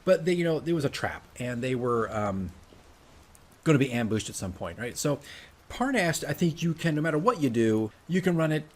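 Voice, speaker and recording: A man's voice: mid-range voice, sing-song, moderate pace, darkish tone. A man talking casually as a dungeon master, working from notes and often checking in with "right?". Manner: chatty, self-correcting, often tags sentences with "right?". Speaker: dungeon master giving game tips.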